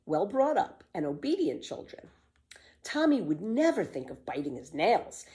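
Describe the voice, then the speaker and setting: Expressive, lower-voiced woman reading a storybook. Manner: expressive, emphatic read-aloud delivery. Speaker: storyteller reading a children's book. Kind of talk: scripted storybook narration.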